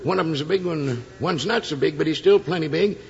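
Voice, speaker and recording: A man playing a dim, chattering, eager-to-please outlaw henchman in a radio western, with a high creaky voice and rambling rustic American talk, echoing on a dull old recording. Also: fairly quick, soft background music. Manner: yappy, rambling, repeats himself, rustic slang. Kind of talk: 1950s radio western acting.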